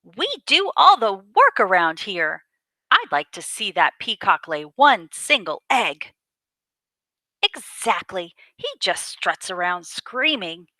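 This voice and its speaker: A woman's voice: mid-range voice, bright, forceful tone, no room sound, voice pushed up, full character voice. A woman voicing envious, vain farm hens as fussy, exclaiming, gossipy ladies in a picture book. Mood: indignant. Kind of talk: picture book character voices.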